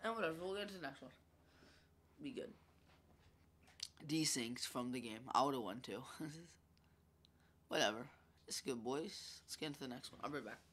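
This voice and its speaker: A man's voice: medium pitch, expressive, normal speed, long pauses, noisy background. A young man with a congested voice, chatting casually as he comments on his own football video game match. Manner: chatty, rambling, filler-heavy. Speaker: gaming YouTuber.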